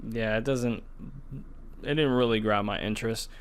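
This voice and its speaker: A man's voice: lowish voice, fairly even intonation, normal speed, a little hiss or hum. A man hosting a podcast, casually discussing gaming news in a conversational way, often restarting his phrases. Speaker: podcast host.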